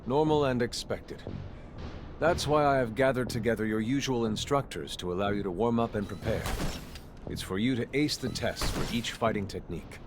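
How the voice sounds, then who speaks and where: A man's voice: medium pitch, moderate pace, natural voice. An actor playing a stern, composed military master in a video game, formal and stiff as he instructs. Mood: formal, steady. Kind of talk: scripted video game dialogue. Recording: clear noise of battle ambience behind.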